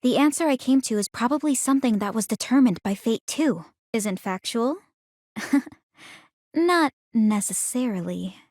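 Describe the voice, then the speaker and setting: An actress playing a calm, analytical young woman in a video game, speaking in a measured, formal and articulate way. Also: fairly high pitch, no room sound, studio quality. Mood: composed, confident. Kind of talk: scripted video game character dialogue.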